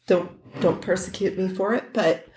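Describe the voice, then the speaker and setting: A woman's voice: mid-range voice, normal speed, darkish tone. A woman co-hosting a podcast in casual chat, enthusiastic and freely swearing. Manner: enthusiastic, profane. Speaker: podcast co-host. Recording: echoey room.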